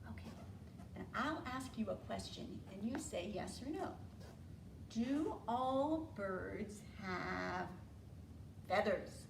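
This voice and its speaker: An American woman leading an interactive storytime for preschoolers, asking simple questions and drawing out key words in an animated way. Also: medium pitch, takes it slowly, creaky. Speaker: children's storytime librarian. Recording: roomy, distant mic, faint room noise.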